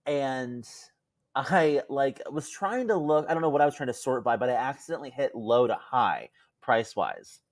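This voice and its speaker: Man, medium-high voice for a male, casual podcast storytelling, informal, very dry recording. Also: sing-song, normal speed, dry recording. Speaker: podcast co-host.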